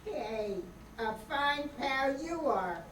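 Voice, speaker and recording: A man's voice: extremely high pitch, lively intonation, very slow, a bit bright, exaggerated voice. A man playing a brash, teasing wealthy old pal in an amateur old-time radio play. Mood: breezy, teasing. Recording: echoey distant room, faint noise.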